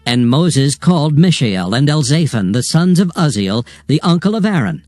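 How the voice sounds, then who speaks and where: A man narrating scripture from a script in a solemn, measured, formal manner. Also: fairly high pitch, very animated, darkish tone, dry recording. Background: music.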